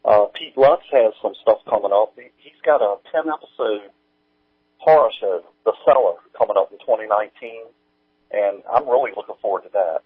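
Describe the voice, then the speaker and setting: A man speaking as an interview guest, chatting casually in a halting, folksy, informal way. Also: on the low side, slightly rough, no echo. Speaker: guest, audio drama creator. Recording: tinny, phone-like band-limited line.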